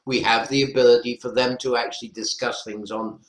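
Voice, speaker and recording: A man's voice: mid-range voice, level tone, a little fast. North welsh guy explaining stuff on a webinar, lecturer type, echoey room. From North Wales. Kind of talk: explaining teaching practice in an online panel. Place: indoor room, online webinar. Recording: roomy echo on webinar audio.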